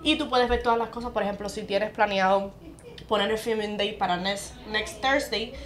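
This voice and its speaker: A woman from Cuba, co-hosting a podcast in a casual chat and explaining things quickly. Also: medium pitch, steady tone. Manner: quick, explanatory, mixes English phrases into her Spanish. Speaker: podcast co-host.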